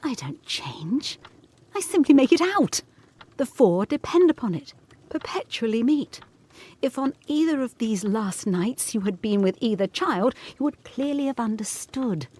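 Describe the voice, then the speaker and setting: Intense, high-voiced young governess. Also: female voice, animated, mellow, husky. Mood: obsessive conviction. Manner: intense, earnest, measured. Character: anxious, probing young governess, obsessed and fearful. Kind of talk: gothic audio drama acting.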